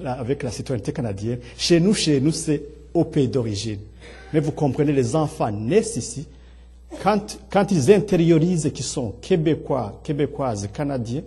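A middle-aged man with a Burundian accent and a husky voice, giving an earnest, explanatory public speech with halting, repeated phrases. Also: mid-range voice, mellow. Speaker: speaker at a public talk on integration. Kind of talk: public speech, partly improvised.

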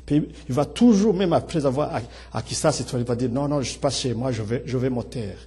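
Husky middle-aged man with a Burundian accent. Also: mid-range voice, fairly quick, dark tone. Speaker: speaker at a public talk on integration. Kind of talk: public speech, partly improvised.

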